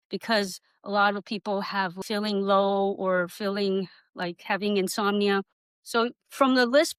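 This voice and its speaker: A woman's voice: medium pitch, a bit bright, clean tone, no room sound, rough recording. A middle-aged woman from Taiwan giving an informal workshop talk, rambling and conversational, with non-native English grammar. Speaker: workshop presenter, acupuncturist.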